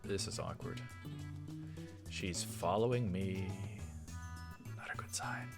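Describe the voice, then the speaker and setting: A man hosting a let's play, reading visual novel text aloud with halting delivery and casual commentary. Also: sits low, over loud music. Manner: halting, repeats words, interjects mild exclamations.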